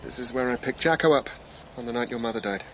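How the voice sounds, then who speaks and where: An actor playing an earnest, guilt-ridden doctor in a radio drama. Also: male voice, medium pitch, quick pace, background noise, lightly acted. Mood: guilty, troubled.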